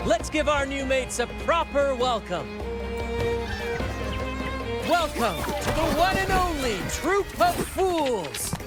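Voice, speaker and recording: Flamboyant, high-voiced theatrical ship captain. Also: male voice, expressive, slow, pauses often, heavily acted. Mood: jubilant. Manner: grandiloquent, courtly, rhyming showman. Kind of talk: video game voice acting. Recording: music behind.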